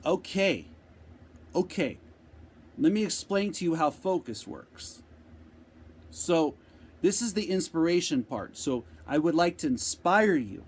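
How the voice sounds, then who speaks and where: A man giving an unscripted motivational talk, speaking slowly and deliberately with repeated phrases, answering a viewer's question. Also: a little high, big pitch swings, slightly rough. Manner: slow, deliberate, repetitive phrasing.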